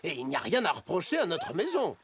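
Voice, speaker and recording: A woman voicing a hesitant, good-natured father who tries to calm his children, in a cartoon. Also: lowish voice, fast talker, background noise. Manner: hesitant, stammering, peacemaking. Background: background music.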